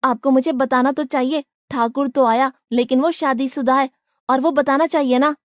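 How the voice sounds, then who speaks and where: A woman voicing a blunt, complaining, hot-headed young boy devotee in an animated moral story. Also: sits high, moderate pace, dry recording, clean studio sound, lightly acted. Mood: indignant.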